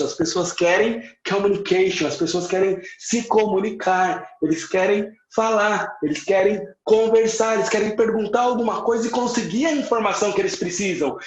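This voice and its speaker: A man's voice: high-pitched, a little hiss or hum. A Brazilian man with a Brazilian Portuguese accent, an English teacher giving an emphatic motivational lesson, repeating points for stress and dropping in English words. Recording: echoey room, slightly muffled sound.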